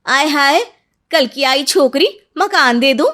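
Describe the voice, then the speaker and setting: A woman voicing a greedy, easily outraged middle-aged mother-in-law in a cartoon story, with shrill exclamations. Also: expressive, takes it slowly, bright, forceful tone, exaggerated voice. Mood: indignant.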